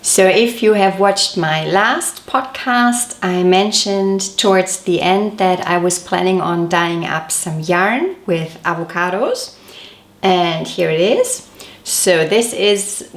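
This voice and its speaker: A woman's voice: medium pitch, takes it slowly. A woman hosting a knitting podcast, chatty and detailed, her voice a little off as she recovers from a cold. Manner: chatty, detailed, gently self-correcting. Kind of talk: casual show-and-tell podcast. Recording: echoey room.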